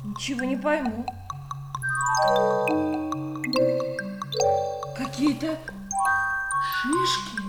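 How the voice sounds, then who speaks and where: Storybook mum, children's audio play: high voice, kindly, puzzled, echoey, loud music. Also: female voice, animated, moderate pace. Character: kindly, puzzled mother of the family.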